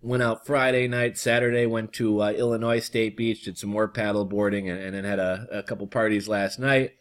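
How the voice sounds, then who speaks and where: A hoarse young man who reads an article aloud on his vlog and drifts into loose, casual chatter full of fillers. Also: fairly low pitch, steady tone, a little fast. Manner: reads steadily, then chats loosely with fillers. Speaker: vlogger.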